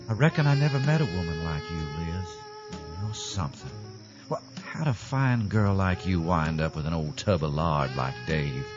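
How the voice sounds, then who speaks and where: A smarmy, cowardly Southern backwoods man, played by an actor in a campy radio parody. The low voice swings widely in pitch over background music, on a dull recording. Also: lively intonation, moderate pace. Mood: smarmy, seductive. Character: Cal, sleazy, cowardly rural Southern seducer, a man.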